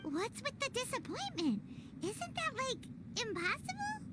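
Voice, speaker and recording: A woman voicing a tiny, childlike fairy companion in a video game, squeaky, cheeky and blunt. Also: huge pitch swings, unhurried, over loud music, heavily acted. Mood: indignant. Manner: squeaky, refers to self in third person. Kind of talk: cartoonish video game acting.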